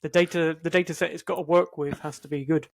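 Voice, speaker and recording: A man's voice: fairly high pitch, little pitch movement, quick pace, vocal fry. A man co-hosting a podcast in casual, unscripted tech banter, easygoing and teasing. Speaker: podcast co-host.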